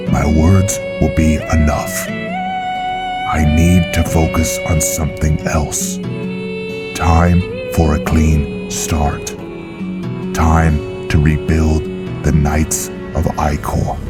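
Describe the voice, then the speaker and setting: A man's voice: a bass voice, sing-song, slow pace, full character voice. A man voicing a grim, resolute vampire blood knight in a solemn, formal monologue for a game roleplay. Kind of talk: scripted in-character monologue. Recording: loud guitar music behind.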